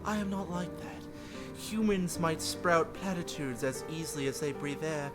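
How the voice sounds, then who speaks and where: A man voicing a proud, blunt female mermaid from a visual novel, speaking in formal, measured sentences. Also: a little high, music in the background, lightly acted. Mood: proud, earnest. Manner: formal, measured, lofty wording. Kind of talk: visual novel character read aloud.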